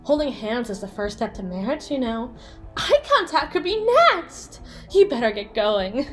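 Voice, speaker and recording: Woman voicing a flirty jealous yandere bestie for asmr roleplay, high voice that swings all over, sassy n teasing. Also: animated, faint music behind, lightly acted. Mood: mock-threatening, flirty. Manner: teasing, sassy, theatrical, drawn-out emphasis. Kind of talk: ASMR roleplay monologue addressed to the listener.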